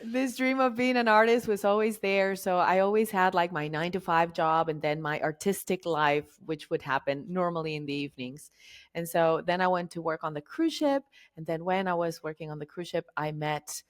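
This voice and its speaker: Argentine woman facilitator in her forties, lower register, chatty guest. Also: normal speed. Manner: chatty, jokey and laughing easily. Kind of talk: conversational interview.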